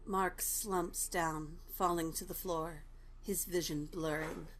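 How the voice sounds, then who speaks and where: A woman's voice: fairly low pitch, steady tone, takes it slowly, a little hiss or hum. A woman reading stage directions as scripted narration for a dramatic table read. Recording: music playing behind the voice.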